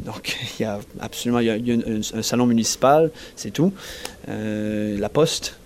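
A young man with an Acadian French accent, a singer-songwriter answering interview questions in a halting way, often restarting and searching for words. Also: lowish voice, darkish tone. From New Brunswick, Canada. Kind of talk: casual festival interview.

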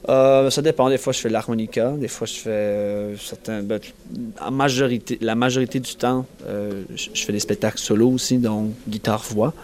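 A young man with an Acadian French accent speaks in a lowish voice. He pauses a lot and restarts phrases as he hunts for words. He talks casually, as a musician answering questions at a festival. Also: fairly even intonation, darkish tone. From New Brunswick, Canada. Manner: halting, searching for words, many restarts. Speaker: singer-songwriter guest.

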